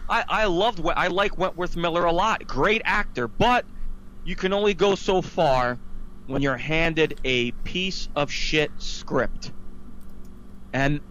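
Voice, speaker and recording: A man's voice: on the high side, slow, a bit bright, poor audio. A man hosting a casual podcast, giving an exasperated, opinionated recap of films in a ranting style. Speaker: podcast host. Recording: clear background noise.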